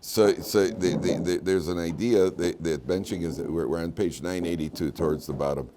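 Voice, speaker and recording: Very deep, hoarse American rabbi. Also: male voice, noisy background. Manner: halting, repeats words and restarts often. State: hoarse from medication. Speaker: rabbi giving a Torah class. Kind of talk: informal religious lesson.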